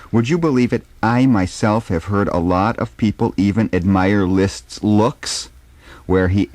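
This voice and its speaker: Man acting out gossipy aristocrat, low-pitched, emphatic, scornful, dry close sound, dramatic reading. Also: a little mellow, no echo. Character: gossipy, disdainful male Russian concertgoer of high society. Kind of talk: informal dramatic reading of a dialogue.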